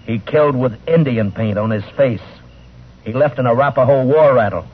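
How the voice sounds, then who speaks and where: An actor playing a tough, weary lawman in an old radio western, speaking gruffly and tersely. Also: mid-range voice, normal speed, close to an everyday voice. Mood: stern questioning. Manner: terse, hard-boiled. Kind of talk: old-time radio drama acting, with first-person narration. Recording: muffled old radio recording with music.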